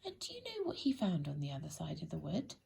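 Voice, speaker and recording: Woman, children's story reader, very breathy and husky, creaky edges, expressive, deliberate. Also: deep voice. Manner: deliberate, addressing young listeners directly.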